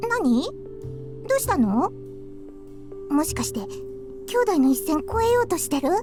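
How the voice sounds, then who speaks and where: Teasing anime little sister, super high bouncy voice, music under it. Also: female voice, very animated, fairly quick. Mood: teasing, curious. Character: playful, teasing teenage girl, younger stepsister. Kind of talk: Japanese visual novel voice acting. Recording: music behind the voice.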